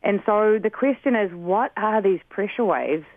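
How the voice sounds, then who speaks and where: A man's voice: high voice, a little slow, dry recording. New Zealand man on a tinny phone line. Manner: earnest, frustrated, advocating. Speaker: community group spokesperson on a radio call. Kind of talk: earnest phone-in interview.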